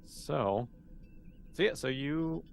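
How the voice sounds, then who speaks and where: A man's voice: on the high side, lively intonation, takes it slowly, creaky, faint music behind. A man acting as game master, casually improvising narration for a tabletop role-playing game and thinking aloud as he goes.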